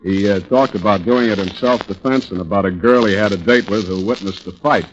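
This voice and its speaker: An American actor playing a hard, sarcastic police interrogator with blunt, clipped delivery in a 1940s radio drama. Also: male voice, lowish voice, fairly quick, slight background noise, close to an everyday voice. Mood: matter-of-fact. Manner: blunt, needling, clipped questioning. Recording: dull vintage 1940s radio recording.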